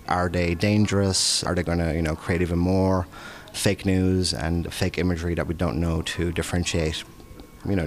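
A man's voice: low-pitched, a little hiss or hum. A man in his thirties or forties, an artist, answering interview questions in a reflective, rambling way with many fillers. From Irish and Dutch, based in Amsterdam. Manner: reflective, rambling, with "uh" and "you know".

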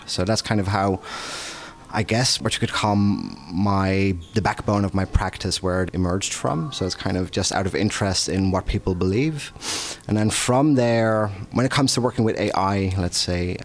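A man's voice: low-pitched, gravelly. A man in his thirties or forties, an artist, answering interview questions in a reflective, rambling way with many fillers. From Irish and Dutch, based in Amsterdam. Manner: reflective, rambling, with "uh" and "you know".

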